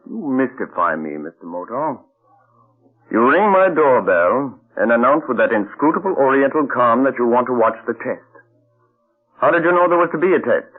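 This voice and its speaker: An actor playing a cold, measured male mad scientist who threatens quietly, in an old radio drama heard as a tinny broadcast. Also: mid-range voice, very animated. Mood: menacing. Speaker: villain inventor. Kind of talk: 1940s radio acting.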